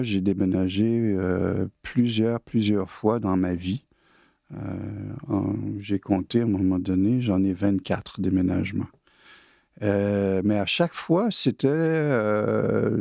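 A man in his late sixties from Quebec, with a Québécois French accent, telling his own life story casually with many pauses and fillers. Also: lowish voice, vocal fry, warm tone, no room sound, studio quality. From Quebec, Canada. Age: 60s. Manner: hesitant, many fillers and restarts. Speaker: interviewee.

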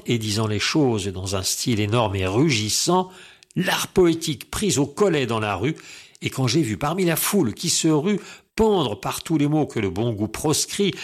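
A man performs a dramatic recitation of classical verse. His voice sits in a middle register. He declaims emphatically, shaping each phrase with deliberate care. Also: mid-range voice, husky. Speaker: poetry reciter.

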